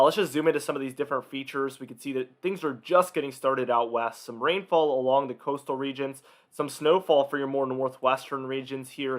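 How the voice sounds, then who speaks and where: A man hosting an informal weather briefing, emphatic in his phrasing, his voice slightly nasal or hoarse from a cold. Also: mid-range voice, a bit bright. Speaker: weather forecaster and video host.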